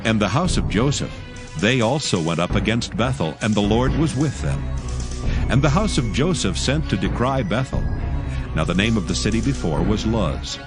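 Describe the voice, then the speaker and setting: A man serves as narrator of a dramatized scripture reading. His low voice is solemn yet richly varied in pitch, and music plays clearly underneath. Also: animated, moderate pace.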